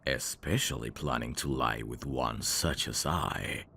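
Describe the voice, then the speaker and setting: Man voicing a very deep, gravelly suave demon. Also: a little slow, sharp tone, slight background noise, exaggerated voice. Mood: seductive, courteous. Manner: smooth-talking, flirtatious, formal phrasing with hesitations. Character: suave, teasing adult male demon, courteous and curious. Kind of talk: intimate ASMR roleplay acting addressed to the listener.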